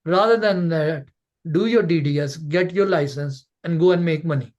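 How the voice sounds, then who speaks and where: A man's voice: fairly high pitch, moderate pace, darkish tone, highs cut off. A man coaching callers in an informal online Q&A, blunt and emphatic, often repeating words to drive a point home. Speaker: coach hosting a Q&A session.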